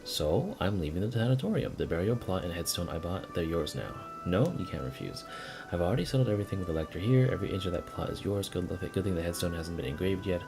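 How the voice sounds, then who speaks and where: A man voicing a cheerful, bossy old man's letter in a video game, in a brisk and breezy manner. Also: deep voice, animated, creaky, over music. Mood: breezy, pleased.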